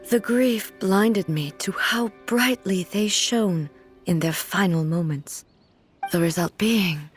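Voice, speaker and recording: A woman voicing a stern divine ruler who talks in a measured, formal and solemn way. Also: on the low side. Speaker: ruler of a nation. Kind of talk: video game voice acting.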